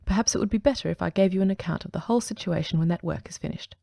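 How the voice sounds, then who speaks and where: A low, gravelly male detective played by a woman speaks with dry composure in an audiobook drama. Every word is measured and precise, with a close, dead-dry sound. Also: dark tone, no room sound. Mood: calm. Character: calm, precise male detective, middle-aged.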